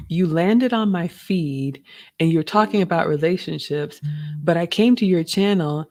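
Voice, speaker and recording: A middle-aged woman with a slightly deep, raspy voice, casually hosting a live stream and reading viewer questions aloud. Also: unhurried, a little mellow, no room sound.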